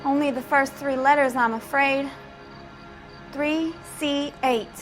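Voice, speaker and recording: A demure young witness in a crime drama game. Her voice is high, polite and hesitant, as of someone in her twenties. Faint music plays underneath. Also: steady tone, slow pace. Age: 20s. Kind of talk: scripted crime drama acting.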